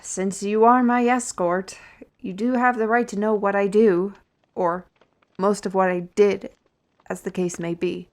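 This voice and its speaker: A woman's voice: medium pitch, fairly even intonation, measured pace, close to an everyday voice. A woman playing a wry, cryptic artist on parole in a fantasy audio roleplay, grudgingly hospitable and speaking with theatrical deliberation. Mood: hospitable, teasing. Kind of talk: audio roleplay acting addressed to listener.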